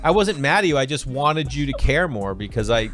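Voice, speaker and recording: A man appearing as a guest on a comedy podcast, telling a story in a relaxed, sincere way and correcting himself mid-story. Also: mid-range voice, fairly quick, soft background music. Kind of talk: casual storytelling.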